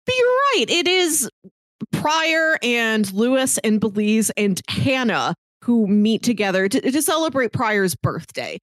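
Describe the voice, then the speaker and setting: A woman's voice: on the high side, very animated, measured pace, a bit bright, dry recording, clean studio sound. A woman co-hosting a casual discussion, talking reflectively and articulately in long, built-up phrases. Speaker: podcast co-host. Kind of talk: casual conversational film discussion.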